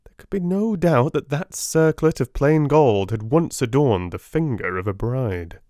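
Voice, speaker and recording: A man narrating a dramatic audiobook with theatrical, deliberate phrasing. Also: mid-range voice, animated, warm tone, dry recording, studio quality.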